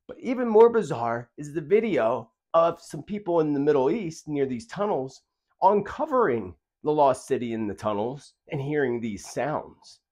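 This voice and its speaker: A man with a fairly high voice presents video commentary directly to his audience. His intonation swings widely up and down, and his delivery is earnest and emphatic. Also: lively intonation, normal speed, darkish tone. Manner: earnest, emphatic, rhetorical questions. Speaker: host of a prophecy video channel.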